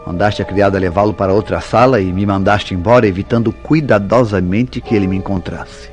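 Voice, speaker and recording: A man playing a jealous, temperamental Brazilian husband in a radio soap opera. His voice sits low and he questions with formal, theatrical suspicion. Music plays behind him. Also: steady tone, a little fast, darkish tone, lightly acted. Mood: accusing, suspicious.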